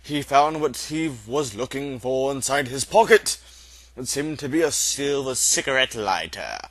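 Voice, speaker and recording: A man's voice: mid-range voice, expressive, measured pace, a bit bright, no echo. A man reading a storybook aloud as narrator, in a theatrical, emphatic style. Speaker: amateur audiobook reader.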